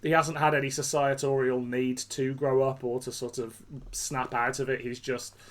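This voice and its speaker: A man's voice: medium pitch, level tone, normal speed. A British man hosting a podcast, talking casually with a rambling manner and chuckling often. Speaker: podcast host. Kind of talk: casual chat.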